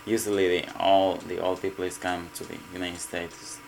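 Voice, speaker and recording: Man, Mexican Spanish accent, Spanish native speaker, very deep voice, halting, repeats words, faint hiss. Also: little pitch movement, creaky. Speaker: restaurant cook. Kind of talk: casual interview.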